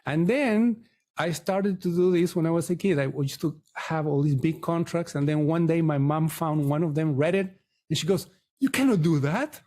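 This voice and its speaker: Middle-aged man, slightly high pitch, soft mellow tone, conversational podcast guest. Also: normal speed. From Chile. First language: Spanish. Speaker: podcast guest, mortgage broker. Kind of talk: conversational interview storytelling.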